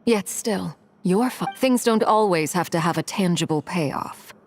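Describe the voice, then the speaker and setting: A woman playing a composed, formal and quietly menacing villainess in a video game, speaking in a measured, guarded way. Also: fairly low pitch, a little hiss or hum. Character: composed, formal, menacing adult female Harbinger. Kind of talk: video game cutscene acting. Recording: faint game music behind.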